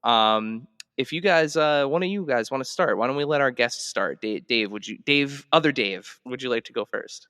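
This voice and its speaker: A man co-hosting a podcast in casual chat, speaking haltingly and often repeating his words. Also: medium pitch, sharp tone, clean tone, no echo, clean studio sound. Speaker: podcast co-host.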